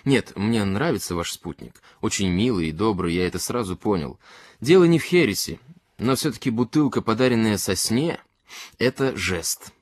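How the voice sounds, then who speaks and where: A man's voice: fairly low pitch, lively intonation, normal speed, dry recording, studio quality. A man voicing a tipsy, earnest character in a literary story who philosophises courteously and emphatically, in a rambling way. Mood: rambling, confiding.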